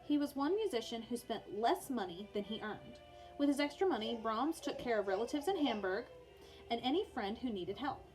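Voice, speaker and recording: A woman's voice: a little high, animated, normal speed, a little mellow, soft background music, poor audio. A woman teacher reading a children's biography aloud for online learners, in storybook narration with informal, chatty asides.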